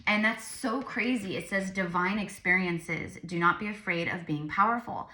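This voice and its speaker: A woman's voice: mid-range voice, normal speed, bright, forceful tone, echoey room. A tired woman with a slightly crackly voice, chatting informally and in a rambling way to viewers during a psychic tarot reading. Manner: informal, rambling, addressing viewers as "you guys". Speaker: psychic medium and tarot reader.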